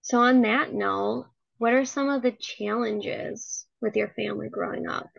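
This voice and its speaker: Gravelly-voiced young woman interviewer. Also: on the high side, unhurried, a bit bright, no room sound. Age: young adult. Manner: friendly and encouraging, many "like" fillers. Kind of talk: casual interview, class project. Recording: slightly dull, lo-fi audio.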